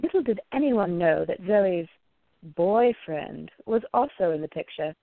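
A woman's voice: lowish voice, expressive, a little slow, creaky, dry recording. A woman reading scripted narration for a radio story. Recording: tinny, phone-like narrow sound.

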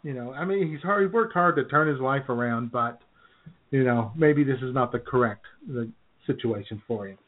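A man co-hosting a comedy radio show who talks in halting, sarcastic asides full of "you know". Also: medium pitch. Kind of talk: casual comedic radio banter. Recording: tinny phone-like line.

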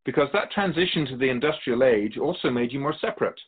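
A serene otherworldly keeper of souls, voiced by a man, speaks in a measured, philosophical, gently lecturing manner for a radio drama. His voice sits in a middle pitch. The sound is narrow and tinny, like a phone line. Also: lively intonation, husky. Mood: calm, wise. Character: otherworldly male soul-realm keeper, calm and wise.

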